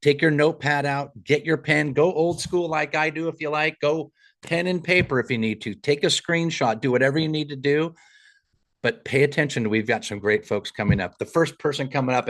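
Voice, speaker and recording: A man's voice: a little high, normal speed, a bit bright. A man hosting a live call podcast, friendly and building up his guests. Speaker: podcast host. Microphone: remote call.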